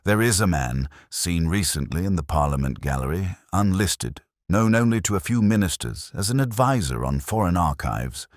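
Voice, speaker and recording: A man voicing a brooding, analytical gentleman detective in deliberate, declamatory speech for an audiobook. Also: deep voice, lively intonation, dry recording, studio quality.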